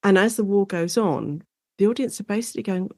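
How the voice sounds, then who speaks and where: British woman chatting as an expert guest, explains history like a story, animated, really dry sound. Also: mid-range voice, fairly quick, no room sound. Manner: animated, informal storytelling with acted-out quotes. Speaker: guest expert, lecturer and author on Shakespeare in radio. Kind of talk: conversational interview, enthusiastic historical explanation.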